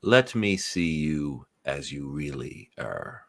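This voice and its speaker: A man playing a menacing older male supervillain and father in a radio play, speaking with slow deliberation. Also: rumbling low, lively intonation, gravelly, fairly bright tone, no echo, full character voice. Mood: commanding, admiring. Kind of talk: live radio-play script reading.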